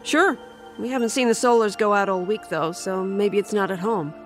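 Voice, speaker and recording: A woman playing a bold, unfazed senior camper in a horror audio drama, with a brash, expressive delivery. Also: mid-range voice, moderate pace, close to an everyday voice. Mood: wary. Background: music.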